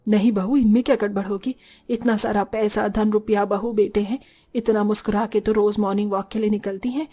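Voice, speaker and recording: A woman's voice: medium pitch, fairly even intonation, brisk, dark tone, close to an everyday voice. A woman voicing a bossy, gossipy but caring older mother-in-law in a Hindi cartoon story. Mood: dismissive.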